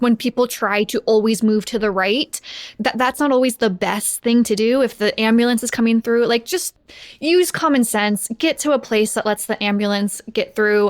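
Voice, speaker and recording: A woman's voice: medium pitch, no room sound. American woman, casual talk, very dry sound. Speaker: podcast guest, audio drama producer. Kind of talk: casual conversation.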